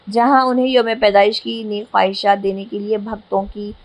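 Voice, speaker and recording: A woman's voice: medium pitch, steady tone, moderate pace. Female newsreader, middle register, formal scripted bulletin delivery, slightly stumbling here and there. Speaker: news presenter. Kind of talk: scripted news narration.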